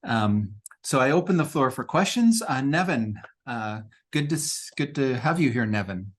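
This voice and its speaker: A man moderating an online Q&A over a video call, speaking slowly and haltingly with many pauses. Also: medium pitch, animated. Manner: hesitant, many ums and pauses, slow. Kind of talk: hosting a Q&A.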